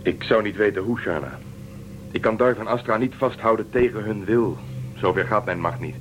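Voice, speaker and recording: A man's voice: on the low side, normal speed, a little hiss or hum, close to an everyday voice. A man playing a stubborn, cautious ship commander in a radio play, firm and dismissive. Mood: uneasy.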